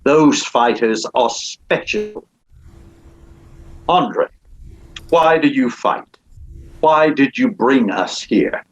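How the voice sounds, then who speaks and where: An actor playing a cruel, cold aristocratic Duke at a script table read, menacing and measured. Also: male voice, mid-range voice, animated, lo-fi sound.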